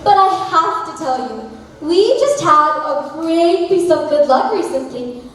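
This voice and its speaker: A woman on a school stage playing an excitable, chatty young wife who is self-absorbed and secretive, speaking theatrically. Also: high-pitched, a little slow. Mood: gushing. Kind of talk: school stage play acting. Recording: echoey hall, distant mic, faint noise.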